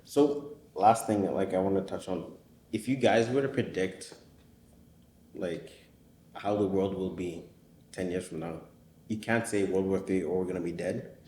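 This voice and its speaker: A low-pitched man hosts a casual interview, circling his questions with false starts and casual swearing. He sounds like he is searching for his words. The room is echoey, with a faint hum of ambience behind him. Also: unhurried, long pauses, dark tone. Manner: hesitant, many fillers and restarts, swears casually. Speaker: podcast host.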